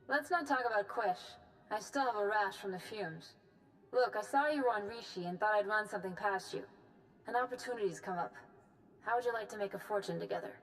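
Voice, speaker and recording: Weary ex-smuggler pilot woman, voiced by an actress; brisk, businesslike, schemer. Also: mid-range voice, a bit bright, natural voice, poor audio. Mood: scheming.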